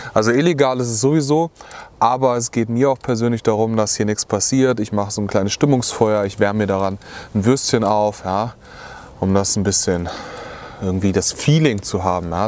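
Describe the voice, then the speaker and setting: A man's voice: lowish voice, darkish tone, slight background noise. A young man vlogging outdoors from under a tarp, chatty and rambling, with lots of filler words, talking to a close camera. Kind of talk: casual chat to camera.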